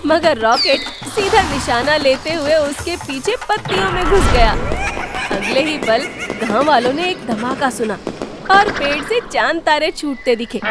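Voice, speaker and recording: A woman delivering scripted narration for a cartoon story. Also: sits high. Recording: loud music behind.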